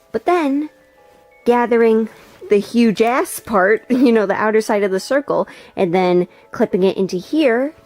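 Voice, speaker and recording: A woman's voice: fairly high pitch, a little mellow. A woman talking casually and informally as she walks through a craft tutorial, like a vlogger. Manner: chatty, informal, mild swearing. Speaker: sewing vlogger. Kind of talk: casual craft tutorial chat with voice-over narration.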